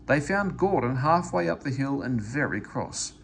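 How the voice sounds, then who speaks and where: A man reading a children's story aloud in an expressive, animated way. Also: mid-range voice, moderate pace, vocal fry, slight background noise. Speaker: storybook reader.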